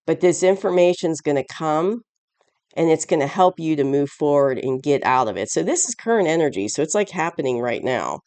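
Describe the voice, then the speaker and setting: A tarot reader with a raspy voice, hoarse and stuffed up from a cold, giving a casual reading and often searching for words mid-sentence. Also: medium pitch, a little mellow. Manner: rambling, searching for words with many restarts; chuckles often while explaining.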